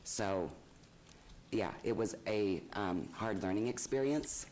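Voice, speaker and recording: A man with a low, quiet voice speaking hesitantly with many ums as he appeals a fine at a city council hearing. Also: slow, a little hiss or hum. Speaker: property owner appealing a fine. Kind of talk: public testimony.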